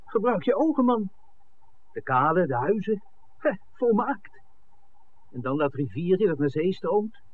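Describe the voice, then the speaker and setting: An actor playing an eager, easygoing television director, speaking in a breezy, exclamatory 1960s Dutch radio drama style. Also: male voice, high voice, very animated, measured pace, pauses often, phone-quality sound. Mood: excited. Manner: exclamatory, breezy, chuckling between phrases. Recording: thin, noisy 1960s radio recording.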